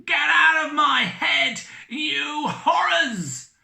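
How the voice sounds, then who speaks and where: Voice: mid-range voice, slow pace, sharp tone, roomy sound, pitched above the performer's own voice. A storyteller voicing a drunken, melodramatic old sea captain who wails and cries out. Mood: anguished. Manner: theatrical, wailing, anguished.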